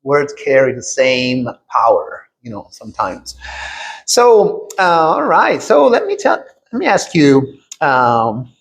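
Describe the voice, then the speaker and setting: Guy hosting a reflective podcast interview, average pitch, agrees a lot and checks in. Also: wildly swooping pitch, slow pace. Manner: affirming, checks in with "right?".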